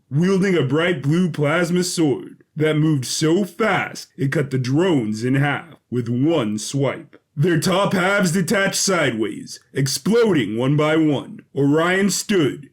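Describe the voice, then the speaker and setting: A man narrating a scripted science-fiction adventure as a storyteller, in a measured, dramatic way. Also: a little high.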